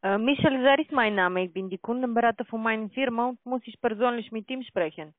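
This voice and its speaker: A sales agent speaks with a foreign accent, clearly not a native speaker. The delivery is formal and halting. It comes over a thin, dry telephone connection. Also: mid-range voice, dry recording. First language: not German. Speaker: call centre sales agent. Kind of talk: sales phone call. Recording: tinny dry phone line.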